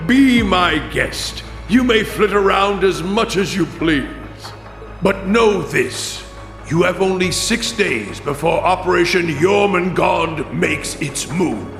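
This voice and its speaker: A man playing a commanding, calculating chancellor in a video game cutscene, speaking with formal, measured courtesy. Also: sits high, fairly bright tone, heavily acted. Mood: imperious. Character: commanding, calculating imperial chancellor addressing rivals. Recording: echoey hall reverb, music behind. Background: music.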